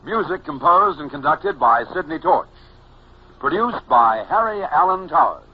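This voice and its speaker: A man announcing the credits of a 1940s radio drama in a measured, declamatory delivery. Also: on the high side, big pitch swings, vocal fry, a little hiss or hum. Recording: muffled old radio transcription.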